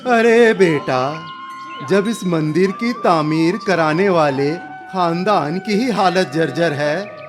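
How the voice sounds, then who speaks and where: An actor playing an older, opinionated village uncle in a radio drama, measured, wistful and moralizing. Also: male voice, high-pitched, over music. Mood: wistful, wry. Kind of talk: Hindi radio drama acting.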